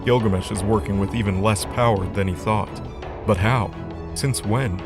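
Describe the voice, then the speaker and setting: Lowish male story narrator over music. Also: fairly even intonation, moderate pace. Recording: music under the voice.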